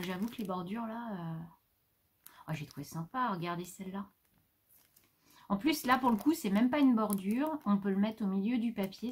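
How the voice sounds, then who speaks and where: A woman's voice: medium pitch, husky. A woman vlogger chatting casually as she shows off her new craft supplies at home, rambling a little and breaking off to talk to her pet. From France. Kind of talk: casual show-and-tell haul. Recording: faint background noise.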